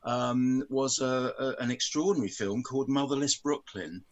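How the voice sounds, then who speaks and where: Older guy guesting on a podcast over video call, lots of ums and pauses, kinda thoughtful. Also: mid-range voice. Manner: hesitant, many ums and pauses. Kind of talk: casual video-call chat.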